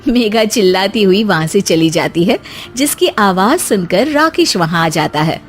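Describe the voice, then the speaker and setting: A woman narrator reading a scripted animated moral story. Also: mid-range voice, moderate pace, soft background music.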